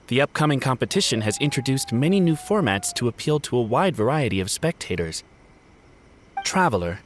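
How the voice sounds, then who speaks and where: A man's voice: mid-range voice, slight background noise, lightly acted. An actor playing a courteous, earnest male samurai retainer in a video game, speaking politely and formally. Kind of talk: scripted video game dialogue.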